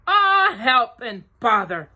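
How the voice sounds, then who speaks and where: A woman voicing a naive, greedy, good-natured male bear in a storybook reading, in a high singsong voice. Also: big pitch swings, slow, pushed and bright, full character voice. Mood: distressed.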